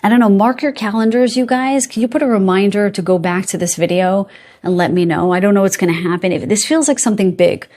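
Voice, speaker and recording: A woman giving a spirit guide card reading to camera, speaking in a meandering, reassuring way, often checking in with her listeners and pausing to search for words. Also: medium pitch, dark tone. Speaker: psychic card reader.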